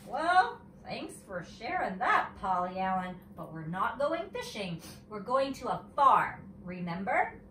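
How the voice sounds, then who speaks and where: Woman as an exasperated, polite schoolteacher, bright voice. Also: medium pitch, sing-song, unhurried, few pauses, echoey room, distant mic, slight background noise. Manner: polite, coaxing, strained patience. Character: Missus, patient but exasperated female schoolteacher.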